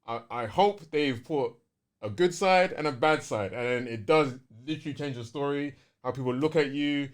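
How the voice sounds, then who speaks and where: A man's voice: medium pitch, normal speed. A man co-hosting a gaming podcast, talking casually and informally about video games.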